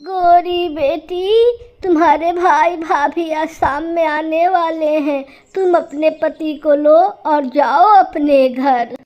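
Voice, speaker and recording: A woman's voice: high voice, fairly even intonation, slow pace, few pauses, dull audio. A woman voicing an older mother crow in a cartoon, blunt, weary, firm and fussing.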